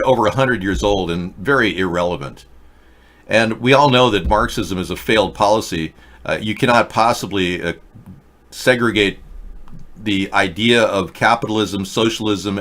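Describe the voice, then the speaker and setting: A man hosting a political talk show, pitching ideas in an earnest, rambling monologue. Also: a bit bright. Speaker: host.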